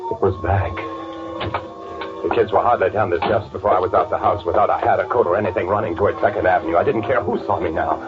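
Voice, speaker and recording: A man acting as a panicky, guilty narrator in old-time radio drama, nervous and halting under pressure. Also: sits low, lightly acted. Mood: frantic. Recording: phone-like old radio recording with loud music.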